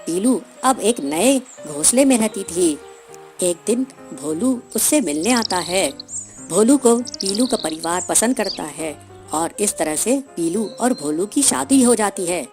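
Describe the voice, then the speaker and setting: A woman's voice: medium pitch, animated, measured pace, music in the background. A woman telling a scripted cartoon story as its narrator.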